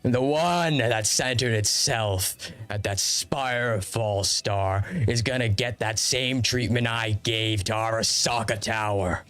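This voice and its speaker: A man's voice: on the low side, slow pace, hardly any pauses, fairly bright tone, clean tone. A man voicing a brash, profane rebel rocker who hates governments, with a swaggering, preachy way of talking. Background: background guitar music.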